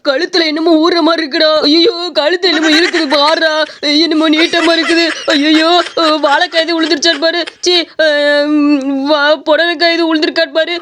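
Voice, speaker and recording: A woman voicing an excitable, easily scared village big brother in an animated comedy, complaining in rural Tamil Nadu speech. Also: extremely high pitch, brisk, music in the background. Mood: panicked. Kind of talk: animated comedy story voice acting.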